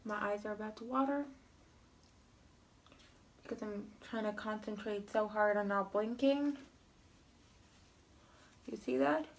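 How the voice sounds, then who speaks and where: Slow, gravelly young woman with a cold. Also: medium pitch. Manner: slow, rambling, filler-heavy commentary while applying makeup. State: sniffly with a cold. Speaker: beauty vlogger. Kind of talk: makeup tutorial chat.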